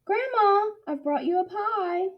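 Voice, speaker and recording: A woman's voice: extremely high pitch, animated, a little slow, dull audio, pitched above the performer's own voice. A woman reading the part of an earnest, curious little girl in a children's storybook. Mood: delighted.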